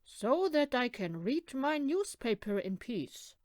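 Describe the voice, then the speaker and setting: A woman's voice: on the high side, very animated, slow, no echo, studio quality. A woman voicing a strict, impatient squirrel father in a children's storybook. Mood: stern.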